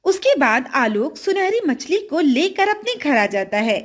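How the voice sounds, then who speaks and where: A woman's voice: high-pitched, sing-song, a little slow. A woman narrating a scripted children's story as the storyteller.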